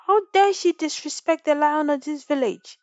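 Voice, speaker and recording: A woman's voice: high-pitched, normal speed, no echo, muffled recording, soft background music, voice pushed up, close to an everyday voice. Woman acting a furious, imperious village chief. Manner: thundering, scornful, imperious. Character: furious, proud village chief, commanding adult man. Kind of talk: animated folktale storytelling.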